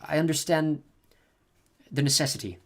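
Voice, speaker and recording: A man's voice: a little high, normal speed, voice pushed up, natural voice. Brief: male reader as a tense, bitter noble mother, voice raised and held in. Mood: strained. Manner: bitter, strained, restrained. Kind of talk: audiobook character reading.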